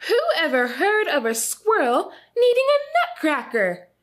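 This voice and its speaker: Storybook mother squirrel, played by a woman: stern, exasperated, high and swooping. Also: sing-song. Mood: scolding, exasperated. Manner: exasperated, drawn-out emphasis.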